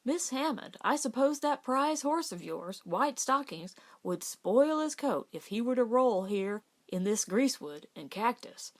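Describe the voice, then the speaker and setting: A woman's voice: on the high side, big pitch swings, measured pace, few pauses. A woman plays a friendly young ranch woman in an audiobook. She speaks plainly and matter-of-factly. There is dry teasing in her Western way of talking. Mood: dryly teasing. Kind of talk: audiobook character voice.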